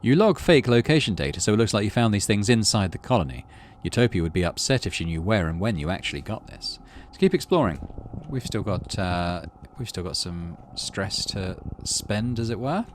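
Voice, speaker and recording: A man's voice: lowish voice, animated, normal speed, hardly any pauses, a little hiss or hum. A man, middle-aged, doing playthrough commentary and reading game text aloud expressively. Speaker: gaming channel host.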